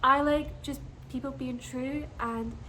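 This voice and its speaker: A woman's voice: high-pitched, steady tone, slow, sharp tone, slight background noise, low-quality recording. A young woman from Scotland, chatting casually and bubbly to her viewers, giggling often. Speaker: vlogger. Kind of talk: casual chat to camera.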